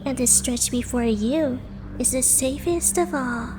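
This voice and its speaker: A woman playing a mysterious, gentle young woman guide in a visual novel fan dub, coaxing softly and reassuringly. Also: high-pitched, music in the background. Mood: soothing. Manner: soft, slow, reassuring, enigmatic. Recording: noisy ambience behind the voice.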